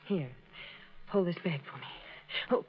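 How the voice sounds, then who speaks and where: A woman's voice: lowish voice, measured pace, husky. Scared urgent young woman, breathless pleading, muffled vintage radio sound. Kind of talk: 1940s radio drama acting. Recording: dull lo-fi vintage radio recording.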